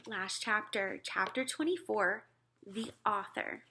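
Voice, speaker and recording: A woman reading a children's book aloud as scripted narration, expressive and clearly phrased. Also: medium pitch, slow pace, noisy background. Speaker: storybook reader.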